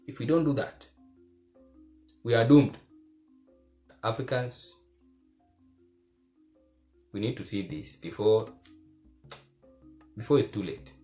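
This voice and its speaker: A man giving earnest, preachy commentary to the camera, keeping his voice low because he is recording at home at night. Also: lively intonation, takes it slowly, pauses often, dark tone, soft background music. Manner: earnest and preachy, repeats phrases for emphasis. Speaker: vlogger.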